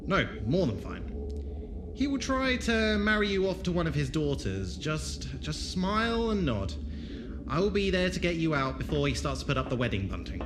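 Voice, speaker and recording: A man's voice: on the high side, very animated, normal speed, background noise. A man playing a kindly, authoritative time traveller in an audio drama, eloquent and reassuring in a theatrical way. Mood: breezy, amused. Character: kindly, authoritative male time traveller leading young companions.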